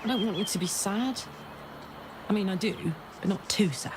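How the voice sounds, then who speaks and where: A woman's voice: medium pitch, moderate pace, noisy background, lightly acted. A woman acting a brash but tender warrior who is grieving her own coming death in a video game, raw and heartfelt. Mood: tender, sad.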